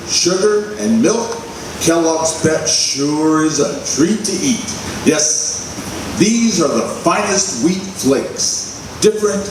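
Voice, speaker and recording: A man reading a scripted commercial as an announcer in 1940s radio style. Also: on the high side. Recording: echoey hall, distant mic, faint noise.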